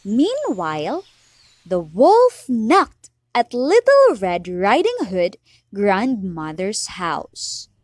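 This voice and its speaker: A woman's voice: mid-range voice, wildly swooping pitch, extremely slow, no room sound, clean studio sound. A woman narrating a children's fairy tale from a script in a dramatic storybook style.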